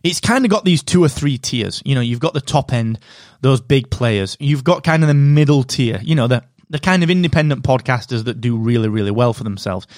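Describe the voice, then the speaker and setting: A man hosting a solo podcast, giving direct, energetic advice while sounding slightly nasal from a cold. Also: mid-range voice, brisk, dry recording, clean studio sound. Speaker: podcast host.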